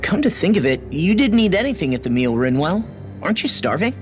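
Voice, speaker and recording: An actor playing a casual, easygoing young fighter in a fantasy game, informal and expressive. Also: male voice, high-pitched, brisk, faint music behind. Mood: casual, puzzled. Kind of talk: dramatic video game acting.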